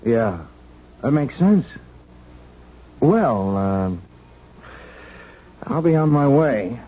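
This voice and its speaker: An actor playing an earnest, bashful young rookie policeman in a 1970s radio drama, halting, awkward and folksy. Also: medium pitch, very animated, mellow. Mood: casual, curious. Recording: dull, noisy vintage radio broadcast.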